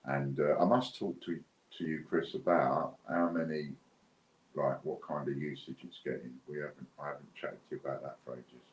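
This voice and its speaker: A man's voice: rumbling low, steady tone, normal speed. A British man hosting a giveaway livestream in casual, unscripted, chatty and rambling talk. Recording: dull lo-fi, roomy echo, clear background noise.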